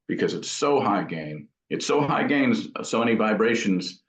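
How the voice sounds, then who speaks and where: A man's voice: on the low side, expressive, moderate pace. A man being interviewed as an amp builder, talking casually in thoughtful, rambling explanations. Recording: dull, echoey room sound.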